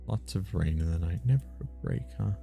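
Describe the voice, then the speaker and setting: A man with a very low voice and plenty of fry chats casually through a game stream. He thinks aloud in short muttered phrases and reads bits of the story, with music playing behind him. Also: expressive, a little fast, mellow. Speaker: live streamer playing and reading a text game. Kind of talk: casual chat mixed with reading game text aloud.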